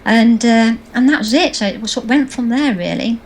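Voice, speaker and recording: A woman's voice: a little high, normal speed, slight background noise. Bubbly woman telling stories. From England. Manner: bubbly, anecdotal, laughs easily. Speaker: guest, social media trainer. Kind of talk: interview, storytelling.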